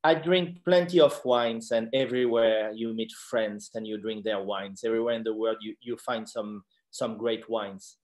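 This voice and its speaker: A man's voice: on the low side, darkish tone. A middle-aged man from the south of France with a French accent, speaking English hesitantly on a video call. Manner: hesitant, halting. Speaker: luxury marketing consultant. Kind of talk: casual video call chat. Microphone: laptop video call.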